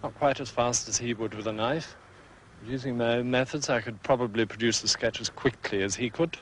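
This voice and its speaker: An actor playing a gruff, prickly painter with a British accent, curt and dry in a detective drama. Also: male voice, mid-range voice, fairly quick, a little hiss or hum, natural voice, low-quality recording. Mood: dry, guarded.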